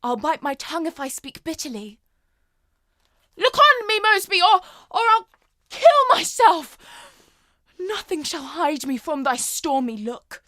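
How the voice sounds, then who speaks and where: A woman acts out a wronged lover from an Elizabethan tragedy, anguished and impassioned. Her voice is high and she draws out the verse slowly in a declamatory stage style. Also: big pitch swings, sharp tone, no room sound, clean studio sound, exaggerated voice. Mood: desperate, pleading. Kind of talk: classical stage monologue.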